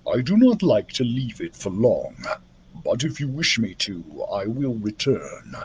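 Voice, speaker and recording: A man voicing a solemn, weary male dragon who speaks in a slow, hushed, measured way. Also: mid-range voice, sing-song, slight background noise, voice pushed down. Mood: solemn, grave.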